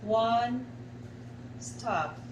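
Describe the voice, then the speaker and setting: Very slow-talking Filipino woman instructor. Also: on the high side, lively intonation. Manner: deliberate, speaking in short separated phrases with pauses. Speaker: facilitator leading a guided art exercise. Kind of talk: slow guided instruction for a radio lesson. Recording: echoey room, clear background noise.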